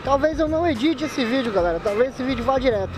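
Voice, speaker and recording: A man talks in a relaxed, slangy way while he rides a motorcycle outdoors. The sound comes from a helmet-mounted camera mic. Music plays clearly underneath his voice. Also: extremely high pitch, fast. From Manaus, Brazil. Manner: chatty, laid-back slang, frequent laughs. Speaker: motovlogger. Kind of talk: casual motorcycle vlog commentary. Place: riding a motorcycle on city streets outdoors.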